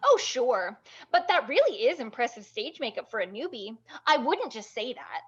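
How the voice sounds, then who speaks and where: A woman playing a brash, bubbly, blunt burlesque veteran in an animated table read, gushing and bossy with heavy emphasis. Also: a little high, normal speed, husky, dull audio.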